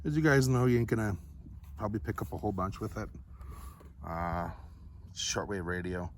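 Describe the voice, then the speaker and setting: A man's voice: lowish voice, animated, normal speed, slight background noise. A man giving a casual, unscripted product review to viewers in a laid-back, rambling, folksy way.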